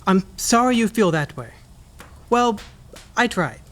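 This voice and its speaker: Guy doing a cheery polite nephew for a radio play, pretty high voice, really sincere. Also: big pitch swings, a little slow, fairly bright tone, full character voice. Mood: earnest, warm. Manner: earnest, genial, polite. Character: cheerful, warm-hearted, forgiving nephew.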